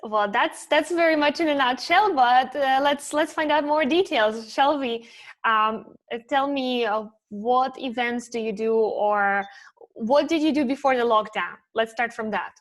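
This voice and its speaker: A woman with a fairly high voice works as an interview host. She speaks in the manner of someone presenting a series and putting questions to a guest. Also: unhurried. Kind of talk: interview hosting, scripted intro then conversational questions.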